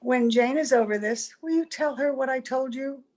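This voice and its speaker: A woman acts out a wistful, ageless young heroine of an old-time radio play. She is tender and earnest, with a fairly high, gentle voice. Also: moderate pace, lightly acted.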